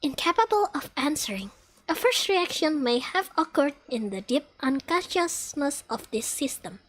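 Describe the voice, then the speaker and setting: A woman performs a high-voiced robot girl from an anime game. She has no memory and reports on herself in stiff, formal phrases, halting like a machine processing data. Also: big pitch swings, poor audio. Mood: blank, mechanical. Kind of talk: live anime game fandub.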